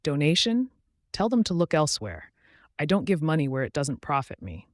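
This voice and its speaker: A man's voice: on the high side, sing-song, normal speed, no echo, studio quality. A man voicing an arrogant, dismissive rich chief in a folktale, speaking with scorn.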